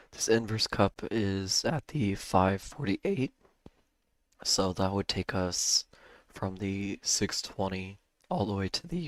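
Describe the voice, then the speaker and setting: A man hosting a live day-trading stream, hoarse and losing his voice, talking casually with a cough drop in his mouth and clearing his throat. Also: on the low side, a bit bright, no room sound. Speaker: livestream day-trading host.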